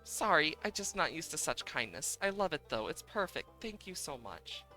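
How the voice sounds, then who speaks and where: Man voicing a shy young game villager woman, raised pitch, gentle, grateful, bright tone, faint music. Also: very animated, moderate pace, poor audio. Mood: touched, grateful. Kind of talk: reading video game dialogue.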